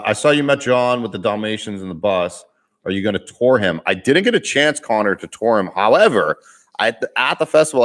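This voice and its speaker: A young man with a Boston accent hosts a casual live Q&A, reading viewer comments aloud. His voice sits a bit low and he talks in a loose, rambling way, often laughing and restarting his sentences. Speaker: vlogger hosting a live Q&A.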